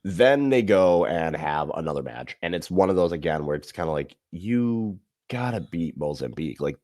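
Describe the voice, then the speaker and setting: A man co-hosting a casual podcast, giving animated, emphatic analysis of football matches. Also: sits low, moderate pace. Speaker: podcast co-host. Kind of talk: casual sports chat.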